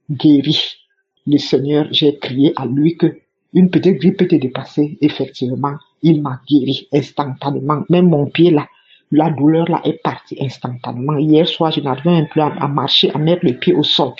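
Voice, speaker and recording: A woman tells her religious testimony with fervent conviction, circling back and repeating phrases to press them home. Her voice is husky, and the recording sounds dull and lo-fi. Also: deep voice, a little mellow. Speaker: church member giving testimony.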